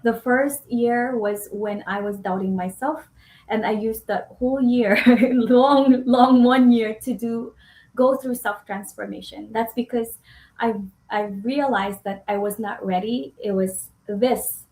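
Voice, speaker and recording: A chatty woman hosts a live stream, often laughing and restarting her sentences. Her voice sits a little high. The sound carries a roomy echo. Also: fairly even intonation, unhurried, darkish tone. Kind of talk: casual live-stream chat.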